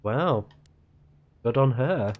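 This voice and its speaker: Slow-paced man streaming a game. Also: medium pitch, animated, phone-quality sound. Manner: musing aloud, reacting to the game. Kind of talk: casual live let's play commentary.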